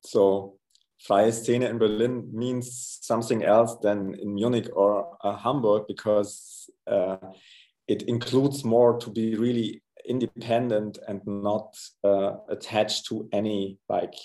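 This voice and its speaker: A man's voice: on the low side, fairly even intonation, slow pace, mellow, poor audio. A man speaking as an interview guest, hesitant, with many fillers and restarts. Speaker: guest from an arts network. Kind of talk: conversational interview.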